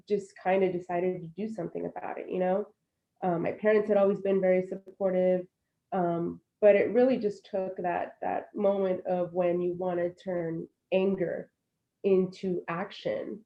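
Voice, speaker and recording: A woman in her thirties with a fairly low voice speaks in an earnest, reflective, unhurried way. The sound is slightly dull and lo-fi, as on a video call. She comes across as a panelist sharing her story. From born in Puerto Rico, raised in Florida, US. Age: 30s. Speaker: panelist, alumna. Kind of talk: casual panel talk.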